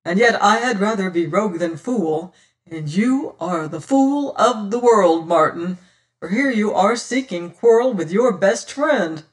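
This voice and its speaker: A man's voice: high-pitched, animated, a little slow, far from the mic, rough recording. A man reading an adventure story as a sly, self-assured pirate who taunts and lectures in archaic seafaring speech. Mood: scornful lecturing. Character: sly, scornful, silver-tongued pirate rogue, mocking and self-assured. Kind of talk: dramatised audiobook reading of an adventure tale.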